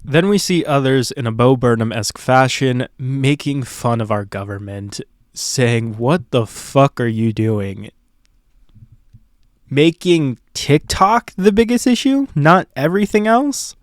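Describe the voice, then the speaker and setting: Slow, earnest male podcast host. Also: medium pitch, lively intonation, no echo, clean studio sound. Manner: measured, earnest, reflective. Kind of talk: podcast commentary.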